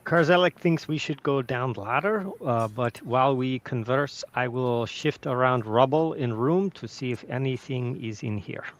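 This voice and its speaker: A man of average pitch plays a tabletop game over an online call. He describes what his character does, talking to the group. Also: normal speed, hardly any pauses.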